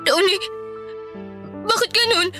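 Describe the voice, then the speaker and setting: A woman's voice: extremely high pitch, slow, over music. A woman playing a heartbroken, desperate young woman in a radio drama, pleading tearfully and stubbornly.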